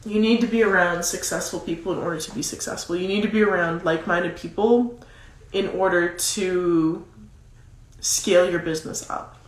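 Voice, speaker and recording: A woman's voice: fairly low pitch, normal speed. A woman with a nasal, congested voice from a cold gives casual, emphatic motivational advice, repeating key phrases for stress. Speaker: motivational coach. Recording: roomy, echoing sound.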